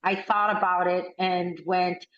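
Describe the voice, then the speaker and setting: Chatty woman in her sixties. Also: on the low side. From United States. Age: 60s. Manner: chatty, animated storyteller, quick bursts. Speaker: workshop participant. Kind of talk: casual video call chat. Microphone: video call. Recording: roomy, slightly muffled call audio.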